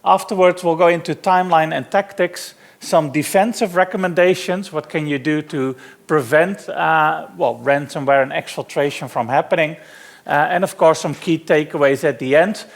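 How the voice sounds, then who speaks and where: A middle-aged man with a Dutch accent presents a technical talk at a conference, easygoing and a bit self-deprecating, dropping plenty of "uh"s. His voice sits somewhat high for a man and has a gravelly, fry-laden edge. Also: moderate pace. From Netherlands. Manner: relaxed, self-deprecating presenter with frequent fillers. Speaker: conference speaker. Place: conference hall.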